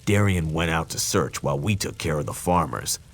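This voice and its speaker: Very deep, gravelly game soldier. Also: male voice, brisk, fairly bright tone, heavily acted. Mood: matter-of-fact briefing. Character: gruff, loyal male soldier in disguise, dryly humorous. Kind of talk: video game character dialogue.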